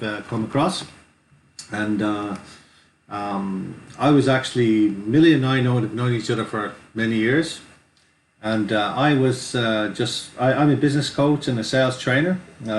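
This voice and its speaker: A middle-aged man, co-hosting a webinar as a business coach, upbeat and rambling with lots of fillers. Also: fairly low pitch. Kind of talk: casual sales pitch. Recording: echoey room, faint noise.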